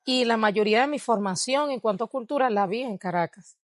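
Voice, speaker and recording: A woman's voice: a little high, a little fast, no room sound, clean studio sound. A Venezuelan woman with a Venezuelan Spanish accent, answering interview questions in a reflective way and laughing often. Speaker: guest, dancer and researcher. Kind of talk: interview conversation.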